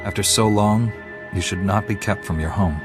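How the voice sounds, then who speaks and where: An actor playing a calm, fair-minded heroic knight in a video game, speaking in a measured, formal way. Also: male voice, on the low side, music in the background, lightly acted. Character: calm, noble male Jedi knight, fair-minded hero. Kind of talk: scripted video game dialogue.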